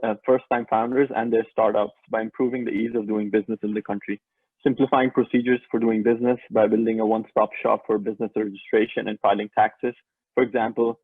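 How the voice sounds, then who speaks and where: A man's voice: lowish voice, husky, dry recording. A man from Pakistan, a graduate student, rattling through a prepared statement. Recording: tinny phone-like audio.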